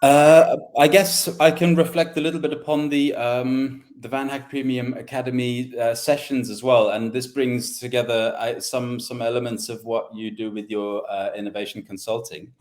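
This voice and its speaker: Measured male English teacher. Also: mid-range voice, steady tone, darkish tone. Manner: measured, thinking aloud with hedging fillers. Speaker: English teacher and panel host. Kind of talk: conversational panel discussion.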